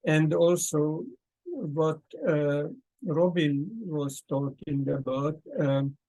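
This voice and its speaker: Slow, thoughtful man hosting a call. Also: on the high side, warm tone, poor audio. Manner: slow and thoughtful, with many pauses and fillers. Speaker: host. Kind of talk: casual talk at an online meeting.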